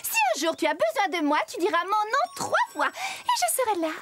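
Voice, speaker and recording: A woman's voice: very high-pitched, huge pitch swings, a little fast, background noise, exaggerated voice. A woman voicing a flustered, grandly polite little fairy in a children's cartoon, florid and theatrical. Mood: grateful. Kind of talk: animated children's cartoon acting.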